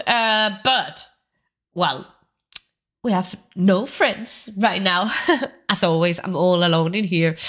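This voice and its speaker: A woman's voice: mid-range voice, pushed and bright. A woman with a cold hosting a let's-play, chatting casually and thinking aloud as she plays. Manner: chatty, thinks aloud while playing, hums along. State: has a cold. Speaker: gaming video host. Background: game music.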